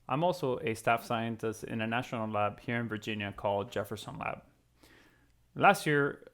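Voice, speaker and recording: Creaky-voiced man explaining to students. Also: medium pitch. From Venezuela (Caracas). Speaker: physics professor and program co-founder. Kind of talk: explanatory talk to students, conversational.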